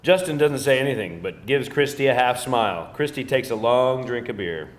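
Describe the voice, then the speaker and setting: A male narrator reads screenplay stage directions in a scripted style. His voice is of medium pitch. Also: animated, normal speed.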